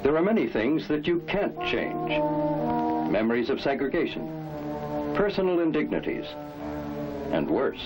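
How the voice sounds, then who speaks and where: A man's voice: on the high side, huge pitch swings, measured pace, long pauses, poor audio. A man narrating a 1960s instructional film in an emphatic, authoritative, rhetorical manner. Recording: old 1960s film soundtrack, loud music. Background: loud music.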